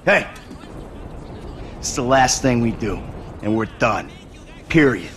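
An actor playing a weary, sarcastic middle-aged American career criminal in a cinematic video game. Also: male voice, medium pitch, expressive, slow pace, a bit bright, slight background noise. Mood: exasperated, firm.

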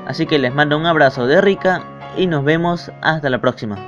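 A man's voice: a little high, fairly quick. A man speaking casually to viewers as the host of an amateur fandub channel. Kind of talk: casual video outro addressed to viewers. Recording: slightly dull lo-fi microphone, music behind. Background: music.

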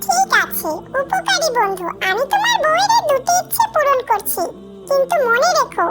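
Kindly wish-granting cartoon fish, gentle and formal, tiny piping voice with prominent music behind. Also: very high voice, big pitch swings, normal speed. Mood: kindly cautioning. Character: kindly magical golden fish granting wishes. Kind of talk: children's cartoon voice acting.

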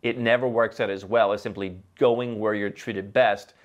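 A man in his thirties talks straight to the camera in a dim, book-lined studio set. He is animated and opinionated, and he uses his hands and fires off rhetorical questions. The voice is close and clean on a studio mic. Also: fairly low pitch, moderate pace. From Ohio, USA. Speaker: host. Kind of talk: opinionated talking-head commentary. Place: dim indoor library set.

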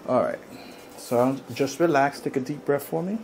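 A man working as a chiropractor, giving a client calm, short, repeated instructions in a soft-spoken way. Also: medium pitch, moderate pace, creaky. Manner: calm, repetitive short cues. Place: small indoor treatment room. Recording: noisy room ambience.

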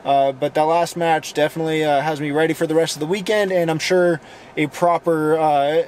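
A tired young man with a hoarse, fading voice, rambling casually through a vlog wrap-up with lots of fillers. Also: on the high side, steady tone, brisk, a little mellow, a little hiss or hum. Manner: rambling, filler-heavy self-talk. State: hoarse, losing voice. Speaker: vlogger.

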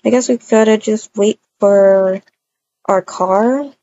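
A woman improvising roleplay dialogue for a video game in a hesitant, halting way with many hums. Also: mid-range voice, fairly even intonation, mellow. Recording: dull muffled audio, faint noise.

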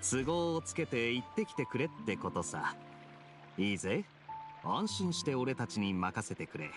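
A man voicing a suave, playful, big-brotherly young swordsman in an anime game, relaxed and teasing. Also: medium pitch, normal speed, over music, close to an everyday voice. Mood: easygoing, teasing. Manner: relaxed, smooth-talking, teasing.

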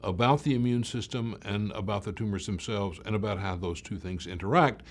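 An older man, around his late sixties, speaking as a professor explaining research in a measured way, stressing key words. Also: on the low side. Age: older, about late 60s. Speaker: medical researcher, professor of cancer biology. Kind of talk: explanatory talk to camera about research.

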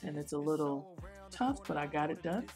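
A woman's voice: low voice, animated, moderate pace, darkish tone, faint music behind. A woman in her thirties chatting playfully through a wig tutorial in her bedroom. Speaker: beauty vlogger. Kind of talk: casual wig tutorial and chat. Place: bedroom with shelves, indoors.

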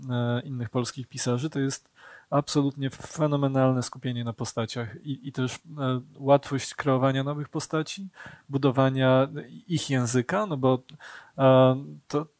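A man's voice: medium pitch, steady tone, moderate pace, darkish tone, no echo. Thoughtful man, dry studio sound. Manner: thoughtful, many hesitations and repeated words. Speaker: guest, film director and executive producer. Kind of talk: casual interview talk. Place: small studio set, indoors.

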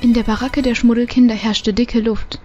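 A woman's voice: a little high, fairly even intonation, normal speed, dark tone, muffled recording, soft background music. A woman narrating a scripted audio drama as its storyteller.

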